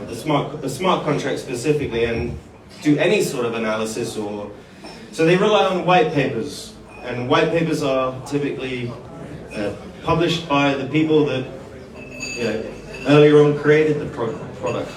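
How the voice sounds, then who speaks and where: A man giving a live conference talk, informal and halting, calling people mate. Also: on the high side. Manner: informal, addresses people as mate, restarts phrases. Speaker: conference speaker. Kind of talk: live presentation to an audience. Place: conference hall. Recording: echoey hall, picked up from far off.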